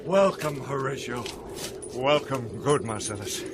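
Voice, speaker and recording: Actor as a brisk British sentinel, very animated. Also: male voice, mid-range voice, close to an everyday voice. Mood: welcoming, earnest. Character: brisk, insistent male castle sentinel. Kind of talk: Shakespearean radio drama acting. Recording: noisy 1990s radio drama with ambience.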